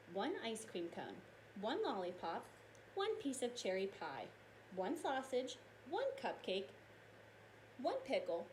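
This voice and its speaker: A woman teacher reading aloud and explaining science to young children in an expressive, explanatory way. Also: medium pitch, a little hiss or hum, low-quality recording.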